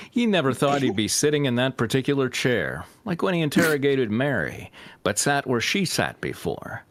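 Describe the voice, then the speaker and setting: A man's voice: mid-range voice, sing-song, moderate pace, no echo. A man reading fanfiction aloud as a host, breaking off for joking banter.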